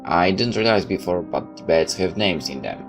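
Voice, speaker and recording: A man with a low voice reads a first-person story aloud in the manner of a casual gamer. His narration is loose enough for side comments of his own, and soft music plays behind him. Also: animated, normal speed. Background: background music.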